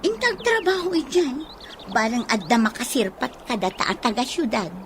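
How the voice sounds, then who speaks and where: A woman playing an ambitious, stubborn young village woman in a radio drama, with an Ilocano accent and an insistent, husky delivery. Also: fairly high pitch, sing-song, normal speed, slight background noise. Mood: eager, defiant.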